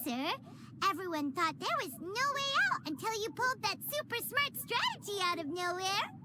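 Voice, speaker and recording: A woman's voice: sing-song, normal speed, heavy background noise. A woman voicing a small, chirpy fairy companion who talks about herself in the third person, in video game dialogue. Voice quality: high, squeaky childlike voice. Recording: game dialogue over loud background music.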